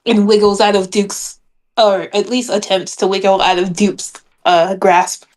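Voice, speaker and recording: A woman's voice: mid-range voice, little pitch movement, unhurried, a little mellow. A woman chatting casually as a player in a tabletop game. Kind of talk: casual chat.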